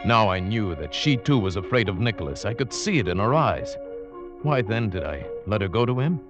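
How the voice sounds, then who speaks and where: A man narrating an old-time radio drama in the first person, in a measured, brooding way. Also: fairly low pitch, big pitch swings. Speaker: narrator and lead. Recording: dull old radio recording with music.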